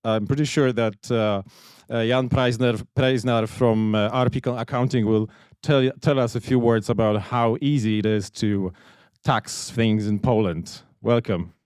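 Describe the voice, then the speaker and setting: A man's voice: medium pitch, steady tone, a little slow, darkish tone, clear, resonant tone, no echo, clean studio sound. A man moderating a business seminar, introducing the next speaker hesitantly with fillers.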